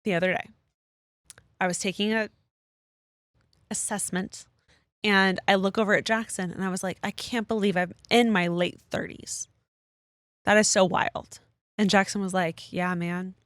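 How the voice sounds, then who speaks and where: A woman's voice: medium pitch, steady tone, moderate pace, long pauses, no echo, studio quality. A woman in her thirties co-hosting a podcast, chatting casually and telling stories with lots of fillers. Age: 30s. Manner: chatty storyteller, many fillers. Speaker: podcast co-host. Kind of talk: casual chat.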